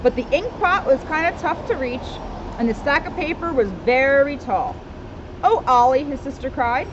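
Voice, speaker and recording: A woman's voice: high voice, moderate pace. A woman reading a children's storybook aloud with casual asides, recorded outdoors. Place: outdoors in a town street. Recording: dull outdoor recording with loud street noise.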